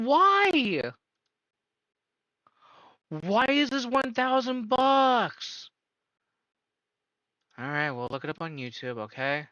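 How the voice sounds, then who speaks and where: A man's voice: high voice, pushed and bright, dry recording, poor audio. A man live-streaming a casual reaction, incredulous and firing off repeated questions.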